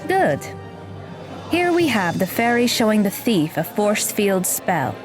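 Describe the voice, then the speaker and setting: An actress playing a blunt, aloof young magical mentor who talks in a dry, deadpan way in an audio drama. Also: female voice, mid-range voice, slow pace. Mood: instructive. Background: background music.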